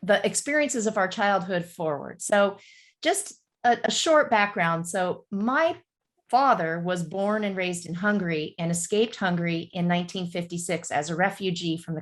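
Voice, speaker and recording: A woman in her fifties, a guest on an interview show, telling her story in a chatty, animated way and talking to listeners directly. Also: on the low side. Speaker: guest, real estate broker.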